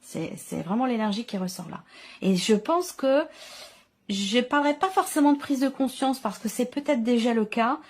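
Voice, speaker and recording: A woman's voice: on the high side, expressive, normal speed. A woman giving an unscripted intuitive tarot reading, reflective and explanatory, often rephrasing her points. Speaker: tarot reader, channel host.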